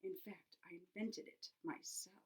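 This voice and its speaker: A woman's voice: on the low side, steady tone, unhurried, voice pushed down, natural voice. A woman voicing an eager, confident schoolboy in a children's picture book.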